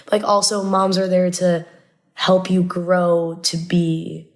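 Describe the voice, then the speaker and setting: A woman's voice: on the low side, flat delivery, takes it slowly. A young American woman, a native Arabic speaker, recalling things casually as a podcast guest, with "like" peppered through her sentences. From Indianapolis, United States. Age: young adult. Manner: frequent "like" as filler. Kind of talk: casual personal recollection.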